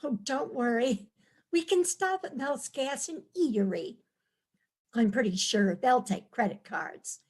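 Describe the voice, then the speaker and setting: A woman's voice: high voice, lively intonation, measured pace. A woman playing a naive, eager, cheerfully enthusiastic tourist wife in an amateur staged play reading. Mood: delighted. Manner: eager, wide-eyed, chirpy.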